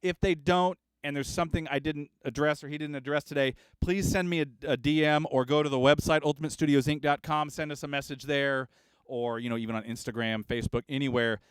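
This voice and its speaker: A man's voice: on the high side, a little fast, clean tone, dry recording. Chatty man hosting a studio livestream. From Kansas. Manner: relaxed, rambling, chatty. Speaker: studio owner and livestream host. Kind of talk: casual livestream chat. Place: recording studio.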